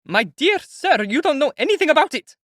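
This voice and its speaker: A woman's voice: sits high, sing-song, a little fast, a bit bright, dry recording, clean studio sound, voice pushed up. A man voicing a desperate, wailing mother who is dying, shrieking at the crowd in a storybook reading.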